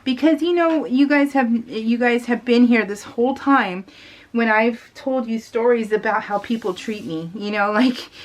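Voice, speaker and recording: A woman's voice: fairly high pitch, normal speed. A middle-aged American woman telling personal stories in a casual, rambling, giggly way and acting out remembered conversations. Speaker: craft video blogger. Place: voice-over talk recorded while crafting.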